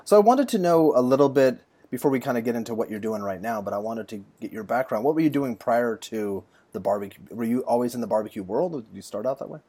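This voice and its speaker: A man's voice: mid-range voice, animated, fast talker, hardly any pauses, dark tone, slightly rough, no echo. A man hosting a podcast in a casual, conversational interview style. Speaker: podcast host.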